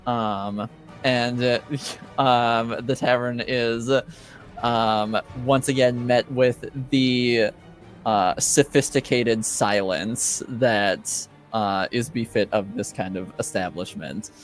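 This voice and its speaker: Guy gm-ing a ttrpg, slow hesitant talking, bit of music behind. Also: medium pitch, fairly even intonation. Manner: hesitant, halting delivery. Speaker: game master. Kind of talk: improvised tabletop game narration.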